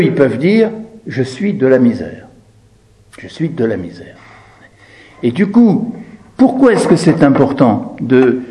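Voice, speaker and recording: A man's voice: mid-range voice, warm tone, poor audio. A man giving an explanatory talk as a lecturer, didactic, posing questions and answering them, repeating key phrases for emphasis. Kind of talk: explanatory talk, commenting on quoted text.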